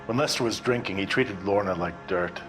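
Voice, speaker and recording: An actor playing a guarded, world-weary bartender who answers a detective in short, wry lines. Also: male voice, low-pitched, normal speed, a bit bright, soft background music.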